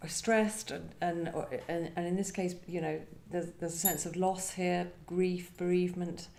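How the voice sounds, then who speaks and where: A woman's voice: on the low side, little pitch movement, unhurried, vocal fry, a little mellow, a little hiss or hum. A woman poet speaking reflectively about her work, thoughtful and searching in her phrasing. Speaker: poet discussing own work. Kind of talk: reflective literary conversation with poetry reading. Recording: roomy live event sound.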